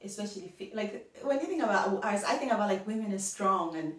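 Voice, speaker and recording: A young woman with a Ugandan accent chats quickly and easily, laughing often as she talks. She sounds a little far from the mic, in an echoey room. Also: medium pitch, animated. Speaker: co-host. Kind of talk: casual conversation.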